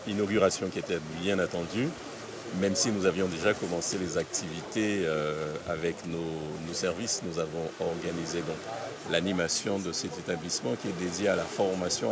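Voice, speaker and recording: A man giving an official's interview statement, emphatic, building lists with repeated phrases. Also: low-pitched. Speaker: local elected official. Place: busy public event. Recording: loud crowd chatter behind voice.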